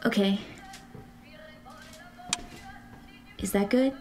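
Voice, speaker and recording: An actress playing a mysterious, reclusive woman with occult leanings in an audio drama, measured and calmly insistent. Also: mid-range voice, soft background music, lightly acted. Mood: calm, eerie. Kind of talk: naturalistic audio drama acting.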